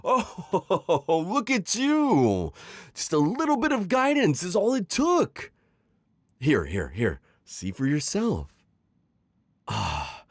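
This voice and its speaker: A man's voice: a little high, dry recording, full character voice. A man playing a suave, manipulative magical villain mentor in an intimate ASMR roleplay, coaxing and teasing as he talks. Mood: delighted, encouraging. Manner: coaxing, smooth-talking, chuckling, drawn-out teasing phrasing. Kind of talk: ASMR roleplay acting, intimate one-sided dialogue.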